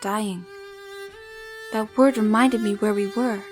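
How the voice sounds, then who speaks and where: An actress playing an earnest young woman of the 1870s in an audio drama, gentle and careful with formal wording. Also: medium pitch, fairly even intonation, slow, faint music behind, lightly acted. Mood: measured narration. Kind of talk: scripted audio drama with first-person narration.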